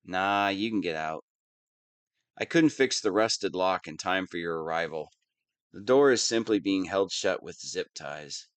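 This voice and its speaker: A man's voice: low voice, animated, unhurried, clear, resonant tone, no echo. A man playing a whiny, lovestruck young wannabe villain in an audio roleplay, petulant and defensive. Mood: sheepish, hesitant. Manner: petulant, defensive, swinging between sheepish mumbling and indignant outbursts. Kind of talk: scripted audio roleplay monologue.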